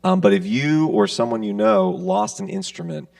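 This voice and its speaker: A man's voice: a little high, very animated, normal speed, gravelly, a little mellow, no room sound. A man making a casual community announcement at a church service, with lots of "uh" and "um". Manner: casual, filler-heavy delivery. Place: church sanctuary. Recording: voice picked up from a distance, dry.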